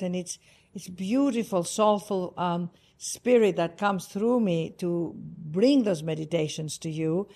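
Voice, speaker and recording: Gravelly Greek-accented woman. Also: mid-range voice. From Greece. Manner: warm and effusive, emphatic. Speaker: spiritual author and podcast guest. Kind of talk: heartfelt interview talk. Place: Cartagena, Colombia.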